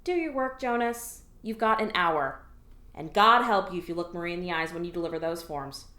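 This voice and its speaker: A woman's voice: lowish voice, fairly quick, sharp tone, echoey room. A woman playing a bossy, slick alien office clerk in a scripted audio comedy, sarcastic and falsely breezy with superiors. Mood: exasperated, scolding.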